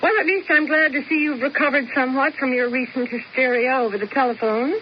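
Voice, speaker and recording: A woman's voice: high-pitched, steady tone, fast, lightly acted. An actress playing a cool, sardonic older woman who talks in a dry, ironic drawl, in a 1970s radio drama. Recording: dull old radio broadcast with hiss.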